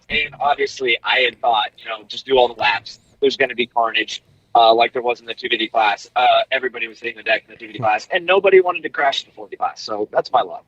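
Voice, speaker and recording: A man's voice: medium pitch, dry recording. Laid-back young male racer, rambling guest, muffled remote call audio, dry sound. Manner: relaxed storytelling with many fillers. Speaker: guest, pro motocross racer. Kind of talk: casual interview over a call.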